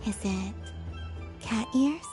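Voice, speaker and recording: A serene young maiden from a video game in a high, soft voice. She is dreamy and gentle, matter-of-fact and deadpan when she teases, with music clearly behind her. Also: takes it slowly. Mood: calm, teasing. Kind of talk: dramatic video game voice acting.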